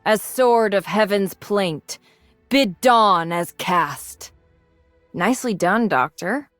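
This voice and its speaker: A woman voicing a mature, resolute and idealistic swordswoman in a video game, composed and earnest in her measured delivery. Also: mid-range voice, lively intonation, a bit bright, no echo, faint music behind. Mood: incantatory, resolute, then composed, encouraging. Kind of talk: scripted video game character lines.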